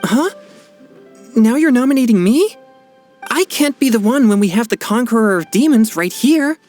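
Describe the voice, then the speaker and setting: A woman voicing an earnest, modest young boy exorcist in an animated video game. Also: a little high, moderate pace, soft background music, close to an everyday voice. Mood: flustered. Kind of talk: animated game dub acting.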